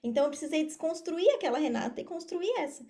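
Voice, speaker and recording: A woman in her thirties with a Brazilian accent and a fairly high, mellow voice. She answers as a podcast guest in an informal, explanatory way. She often repeats phrases to drive a point home. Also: quick pace. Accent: Brazilian Portuguese. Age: 30s. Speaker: podcast guest, affiliate marketer and mentor. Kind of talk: conversational interview answers.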